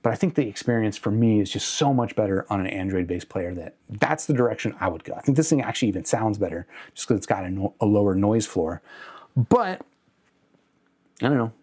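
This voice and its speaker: A man's voice: lowish voice, animated, a little fast, a little mellow, slightly rough. A man speaking casually and off the cuff as a review host, hedging and correcting himself as he goes. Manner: conversational, hedging, self-correcting. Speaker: host of an audio gear review channel. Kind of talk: casual unscripted product review to camera.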